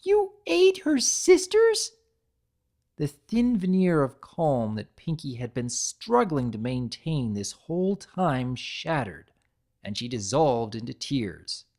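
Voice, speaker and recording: A woman's voice: a bass voice, big pitch swings, slow, mellow. A woman narrating a scripted story as a dramatic reading.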